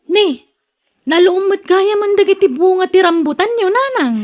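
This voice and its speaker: A woman's voice: very high-pitched, moderate pace, darkish tone. A woman playing a friendly young neighbour in a radio drama, chatty and polite, with an Ilocano accent. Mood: friendly, eager. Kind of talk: Filipino radio drama acting.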